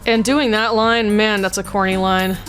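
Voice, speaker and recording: A woman's voice: mid-range voice, steady tone, moderate pace, a bit bright, faint music behind. A woman casually talking through her voice mod work, chatty and blunt, laughing often. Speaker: voice mod creator. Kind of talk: casual commentary.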